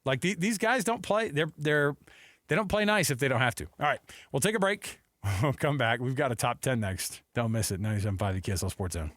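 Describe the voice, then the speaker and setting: A man hosting a sports talk radio show, telling stories and bantering in an animated, emphatic way. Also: mid-range voice, a little fast. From Texas and Seattle. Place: radio studio. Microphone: close studio microphone.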